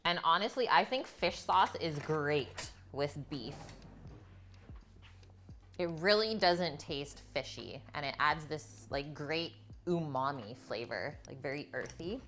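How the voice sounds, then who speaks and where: A woman's voice: low voice, lively intonation, takes it slowly, fairly bright tone, a little hiss or hum. A woman hosting a live cooking stream, talking in a chatty, informal way to viewers as she works and tells stories. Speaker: cooking livestream host.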